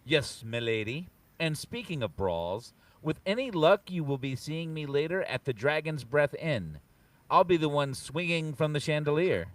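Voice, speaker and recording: A man's voice: fairly high pitch, very animated, normal speed, no room sound. Man playing a haughty playboy prince, distant mic. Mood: flirtatious. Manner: grand, flowery courtly phrasing. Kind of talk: dramatic comic book table read.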